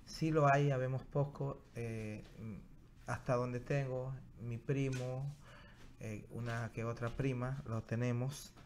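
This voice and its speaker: A man, a guest journalist chatting haltingly with many fillers on a studio mic in a small TV studio. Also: mid-range voice, fairly even intonation, a little mellow, slight background noise. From Dominican Republic. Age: 30s. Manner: hesitant, many fillers and restarts. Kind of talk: casual interview chat. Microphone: studio mic on stand.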